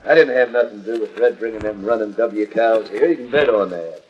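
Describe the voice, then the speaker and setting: An American actor playing a sly, taunting rival rancher with a smug, folksy Western accent in a 1940s radio western. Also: male voice, lowish voice, a little fast, lightly acted. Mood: wheedling. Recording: muffled, noisy 1940s radio transcription.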